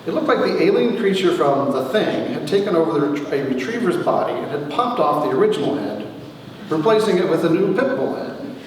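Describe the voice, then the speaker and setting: A man reads a humorous memoir with wry timing and dramatic pauses. His fairly high voice swings in an animated way. He is picked up from a distance in a very echoey hall. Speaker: author reading his own story. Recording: echoey hall from far off, faint noise.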